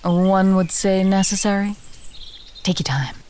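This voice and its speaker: A woman's voice: medium pitch, unhurried, a little mellow, a little hiss or hum, lightly acted. A woman playing a chatty, drily humorous alchemist and herb gatherer in a fantasy audio roleplay, talking straight to the listener. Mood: wry, amused. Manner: conversational, instructive, drily humorous.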